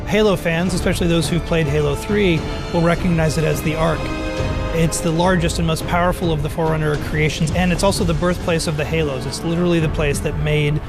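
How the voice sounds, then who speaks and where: A man speaks as a game developer in a behind-the-scenes interview, laying out a story. His voice is a bit higher than most men's, and music runs clearly underneath it. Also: fairly even intonation, brisk.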